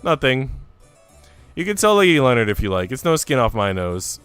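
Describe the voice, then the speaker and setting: A defiant housemaid played by a man answers with composed, dry politeness. The voice is smooth and clear with lively inflection, in visual novel style. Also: mid-range voice, normal speed, no echo, faint music behind. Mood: calm defiance. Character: cool, calm, protective housemaid, defiant and dry.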